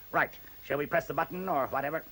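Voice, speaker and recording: A man's voice: a little high, bright, forceful tone, poor audio. An actor in a radio play voicing a conceited male professor with a blunt, dry and precise manner. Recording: faint hiss on old radio recording.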